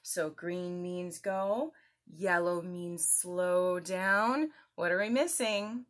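A woman's voice: on the low side, extremely slow, fairly bright tone. A woman in her thirties presenting a children's story time, talking straight to young viewers with exaggerated, encouraging questions. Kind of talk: children's lesson, addressing young viewers directly. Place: small indoor room at home.

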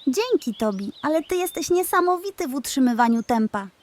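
A woman voicing a cheerful, energetic young female monkey in a children's story, exclaiming with enthusiasm. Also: high voice, brisk, voice pushed up. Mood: happy.

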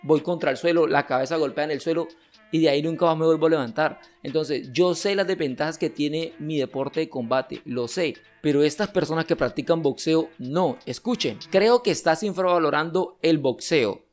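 A young man in his twenties, an olympic wrestler hosting his channel, reacting to viewer comments with emphatic, gesturing argument from a home setup on a boom mic. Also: moderate pace, faint music behind. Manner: emphatic, gestures a lot while arguing. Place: home streaming room with gaming chair. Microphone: studio mic on boom arm, headset.

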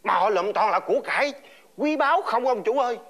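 A fawning male servant from a comic fairy-tale film, with a Southern Vietnamese accent. His voice is very high and forceful, and he repeats himself and stammers as he flatters his master. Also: fast talker, exaggerated voice. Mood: puzzled, gossipy.

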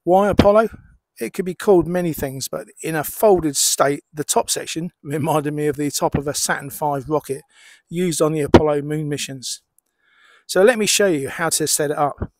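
A middle-aged man explaining and demonstrating as a hobbyist presenter, in measured short phrases with pauses. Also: a little high, a little mellow, dry recording. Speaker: amateur radio hobbyist presenter.

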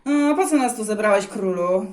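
A woman playing a brusque, forceful messenger in a children's fairy tale, speaking bluntly and emphatically. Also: medium pitch, animated, normal speed, echoey room, natural voice. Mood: impatient.

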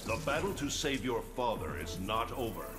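Male actor as ancient god protector: grave, formal, measured, mid-pitched, game cutscene with loud music. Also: big pitch swings, lightly acted. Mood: solemn. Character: solemn, stern ancient thunder god and protector. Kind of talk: video game cutscene dialogue.

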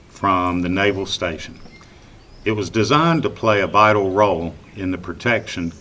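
An older man presenting scripted history to camera, speaking in measured short phrases outdoors. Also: low-pitched, fairly bright tone, a little hiss or hum. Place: outdoors among old concrete fort ruins.

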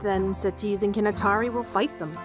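Village leader, audio drama female actor: mid-pitched, decisive, composed, clear music underneath. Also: steady tone, brisk, natural voice. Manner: composed, firm.

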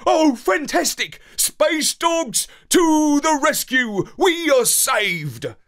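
A man voicing an excitable, loving, dramatic space dog who narrates a children's story with theatrical enthusiasm. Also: very high-pitched, very slow, full character voice. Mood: excited.

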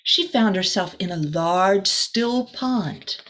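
A middle-aged woman reading a children's storybook aloud as a teacher, expressive and deliberate, with small asides to the listeners. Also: mid-range voice. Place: small room indoors.

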